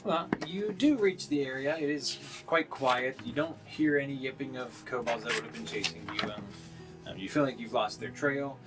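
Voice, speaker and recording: A man narrating a live tabletop roleplaying game as game master, casual and halting as he improvises. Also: medium pitch. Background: plucked guitar music.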